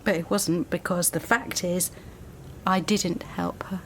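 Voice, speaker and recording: A woman's voice: lowish voice, steady tone, measured pace, slight background noise. A wounded, bitter female academic. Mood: bitter, hurt. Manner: bitter, articulate, emotionally charged. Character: wounded, resentful female academic and spurned lover. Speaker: actor in a radio drama. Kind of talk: naturalistic radio drama acting.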